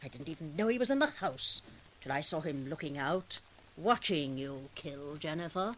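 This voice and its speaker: An actress playing a domineering, scheming murderess in 1940s radio drama style, commanding and coldly coaxing in a melodramatic way. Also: female voice, low-pitched, animated, normal speed. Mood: cold contempt. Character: domineering, scheming murderous woman controlling a weaker man. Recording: hissy, noisy 1940s radio recording.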